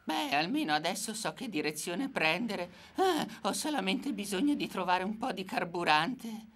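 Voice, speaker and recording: A woman's voice: mid-range voice, very animated, moderate pace, a bit bright. A woman voicing a hot-headed, stubborn male cat turned cyborg in a cartoon dub, brash and theatrical. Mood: determined. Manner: brash, theatrical, dramatic outbursts. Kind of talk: Italian cartoon dubbing.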